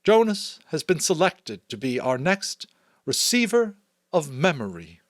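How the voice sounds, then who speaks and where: Solemn female leader played by a man, raised pitch, sweeping intonation, firm formal oratory for a dystopian audiobook. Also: big pitch swings, no echo, clean studio sound. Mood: firm, commanding. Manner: firm, declamatory, deliberate. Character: solemn commanding female chief elder addressing her community. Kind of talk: dramatic audiobook character voice.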